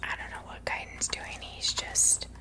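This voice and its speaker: A woman softly whispering ASMR commentary as she plays a video game, gently musing aloud. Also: moderate pace. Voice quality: soft breathy whisper. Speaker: host playing a video game.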